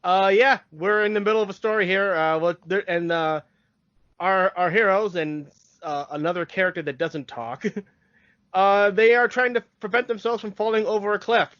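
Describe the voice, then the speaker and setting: A man co-hosting a podcast, recapping an episode casually in a hesitant, halting way. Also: high-pitched, a bit bright, dry recording. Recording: dry, dull lo-fi audio.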